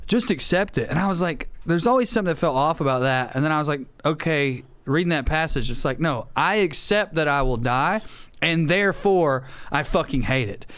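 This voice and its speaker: A man with a smooth, clear voice, a little high for a man, recorded very dry. He chats casually as a podcast co-host, earnest and salty in his language. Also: lively intonation, brisk, a bit bright, no echo. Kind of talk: casual chat.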